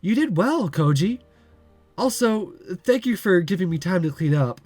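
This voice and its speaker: Man reading as a polite, teasing young waitress, animated intonation. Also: high voice, normal speed, dry recording, soft background music. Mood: grateful, touched. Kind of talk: visual novel dialogue read aloud.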